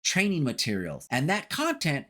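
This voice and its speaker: A man delivers a scripted video essay as its narrator, analyzing horror. His voice sits a little high and moves with a very lively range of intonation. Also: normal speed.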